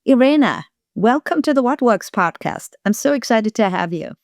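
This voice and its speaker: A woman's voice: a little high, animated, moderate pace, a little mellow, no echo, soft background music. A woman hosting a podcast, asking direct, friendly interview questions. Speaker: podcast host.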